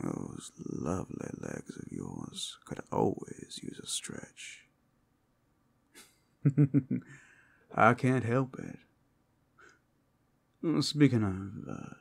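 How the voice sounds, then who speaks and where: A man acts out a seductive werewolf companion in a fantasy ASMR roleplay. His voice is low and gravelly, sometimes sinking to a breathy whisper. He takes his time, teasing the listener in a playful drawl that rises and falls widely. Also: a little mellow, full character voice. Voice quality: gravelly, husky, often dropping to a whisper. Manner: slow, playful, seductive drawl with pet names. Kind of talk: ASMR roleplay, intimate scripted acting to the listener.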